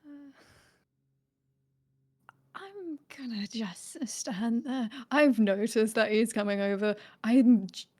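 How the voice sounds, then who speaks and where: Woman player in a tabletop game, mid pitch, casual, kinda tentative. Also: a little mellow.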